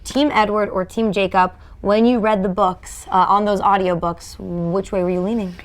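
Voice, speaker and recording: A woman hosting a TV talk segment, speaking in quick, upbeat host patter. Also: medium pitch, fairly even intonation. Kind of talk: casual interview chat.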